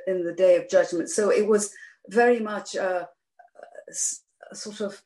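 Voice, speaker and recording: A woman's voice: lowish voice, a little mellow. Hesitant woman in a roomy space. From Iran. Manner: hesitant, many fillers and restarts, laughs lightly. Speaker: guest. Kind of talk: conversational interview. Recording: roomy echo.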